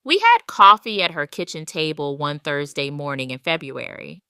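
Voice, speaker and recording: A woman reading a scripted first-person story as a wronged, retired wife, in a measured, deliberate storytelling manner. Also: low voice, big pitch swings, sharp tone, clear, resonant tone, dry recording, clean studio sound. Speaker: narrator. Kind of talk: scripted first-person audio drama narration.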